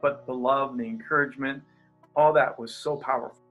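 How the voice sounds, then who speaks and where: A man's voice: medium pitch, a little fast, faint music behind, poor audio. A man who is a pastor and coach, speaking as an interview guest with energy and easy laughter, who has a stutter. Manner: energetic, animated, laughs easily. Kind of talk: interview guest, casual talk.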